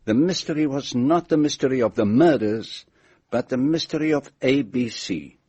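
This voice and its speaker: A man's voice: medium pitch, moderate pace, warm tone, husky, natural voice. An actor playing a shrewd, courteous male detective in a radio drama, with a Belgian French accent and a formal, precise way of talking. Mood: reflective, intent.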